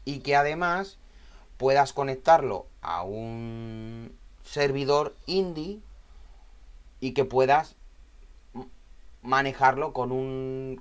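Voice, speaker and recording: A man instructing in an informal, explanatory tutorial style, often checking in with short tag questions. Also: medium pitch. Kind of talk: informal spoken screen tutorial.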